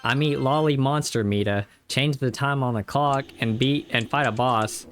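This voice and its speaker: A man with a mid-pitched voice recaps a video game as a reviewer. His narration is scripted but casual. He leans on tongue-in-cheek exaggeration as he tells it. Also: moderate pace, a bit bright, soft background music.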